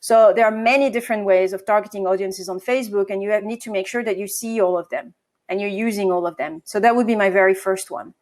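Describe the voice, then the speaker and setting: A woman's voice: medium pitch, quick pace, a little mellow. A woman from France with a French accent, a marketing agency co-founder answering interview questions, with hesitations and self-corrections.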